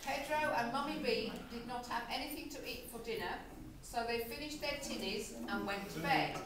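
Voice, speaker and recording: A woman's voice: a little high, moderate pace, crowd noise. A woman narrating a comic amateur puppet show in a storybook style. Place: room in a nursing home. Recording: very echoey, noisy, distant room recording.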